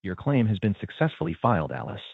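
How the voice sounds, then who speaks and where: A man's voice: fairly low pitch, wildly swooping pitch, fast talker, creaky, slightly rough, dry recording. A man's synthetic voice acting as a polite, procedural automated claim agent with scripted customer service lines. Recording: narrow tinny phone-like sound.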